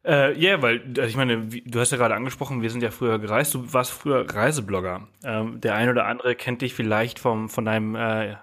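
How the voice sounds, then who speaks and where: A man's voice: mid-range voice, fairly even intonation, a little fast, hardly any pauses, fairly bright tone. A man hosting a podcast, chatting casually with his guest, with many fillers and restarts. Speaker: podcast host. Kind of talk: casual interview chat.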